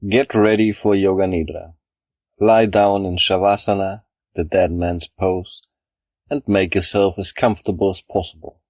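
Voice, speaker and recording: A man leading a scripted guided meditation, speaking in a calm, measured, instructional way with pauses between phrases. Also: low voice, no room sound. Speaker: yoga nidra guide.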